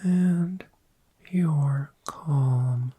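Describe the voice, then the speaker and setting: A performer voicing a friendly, gentle dragon in a soft-spoken ASMR roleplay, breathy and mostly whispered, soothing and lingering over words. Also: mid-range voice, no room sound. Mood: sleepy, tender. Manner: slow, soothing, lingering repetition. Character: friendly, gentle dragon host of a sleepy hoard.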